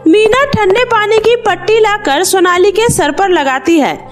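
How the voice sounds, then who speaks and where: A woman's voice: very high voice, unhurried, soft background music. A woman reading a scripted children's story as narrator.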